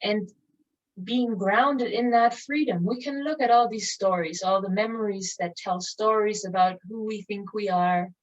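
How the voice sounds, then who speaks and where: A woman speaks as a meditation teacher, guiding listeners calmly and contemplatively. Her medium-pitched voice moves in measured phrases separated by long pauses. The mic sits some way off, leaving the sound slightly dull and lo-fi. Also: recorded from a distance. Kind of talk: guided meditation, spoken slowly with long pauses.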